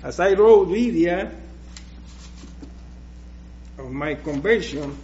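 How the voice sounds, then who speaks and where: Slow, hesitant Puerto Rican preacher. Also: male voice, sits high, expressive, darkish tone, highs cut off, a little hiss or hum. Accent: Puerto Rican Spanish. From Puerto Rico. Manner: slow, hesitant, with many restarts. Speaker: guest preacher. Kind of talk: personal testimony in church.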